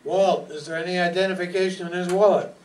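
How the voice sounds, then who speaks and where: A man's voice: high-pitched, fairly even intonation, fast, a little mellow, natural voice. Older man as wisecracking private detective, 1940s radio play, echoey room, noisy distant recording. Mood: brisk, businesslike. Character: wisecracking male private detective, breezy and tough. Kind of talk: 1940s radio acting, with narration. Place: meeting room stage before an audience. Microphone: stand microphone, filmed from far back.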